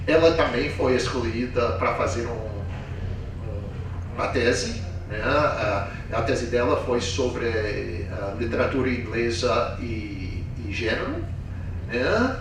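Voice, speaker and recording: A man's voice: medium pitch, animated. Echoey, distant man presenting informally. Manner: halting, with repeated tag questions. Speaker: professor presenting students. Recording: very echoey room, distant mic, faint noise.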